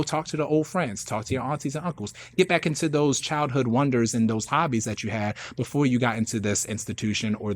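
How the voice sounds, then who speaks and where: A man's voice: mid-range voice, a bit bright, no echo. Emphatic American man hosting, dry close sound. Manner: emphatic, repeats phrases, slangy. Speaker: radio show host. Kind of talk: casual motivational talk to listeners.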